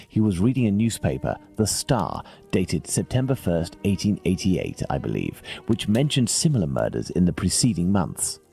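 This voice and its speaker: A self-assured English gentleman detective in a video game. His voice is very low but moves with lively intonation, formal and deliberate as he reasons, with music underneath. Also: creaky, heavily acted. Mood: musing, focused. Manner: deliberate, formal, deductive phrasing. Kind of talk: scripted video game acting.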